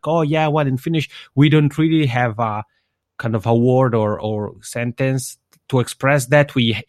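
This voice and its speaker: A man's voice: on the low side, no echo, clean studio sound. A man from Switzerland whose native language is Italian, answering interview questions in a reflective, explanatory way and often rephrasing himself. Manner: reflective, self-correcting, explanatory. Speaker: interview guest.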